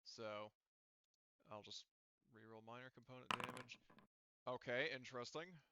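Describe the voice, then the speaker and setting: A man acting as game master in an online tabletop session, talking haltingly as he thinks aloud through rules and dice tallies. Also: mid-range voice, muffled recording, noisy background.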